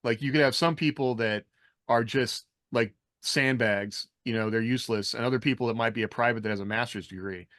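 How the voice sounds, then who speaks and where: A man's voice: mid-range voice, fairly quick, fairly bright tone, dry recording. Dry-sounding American man chatting casually. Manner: rambling, anecdotal, frequent "like". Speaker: podcast co-host. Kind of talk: casual chat about military life and fiction.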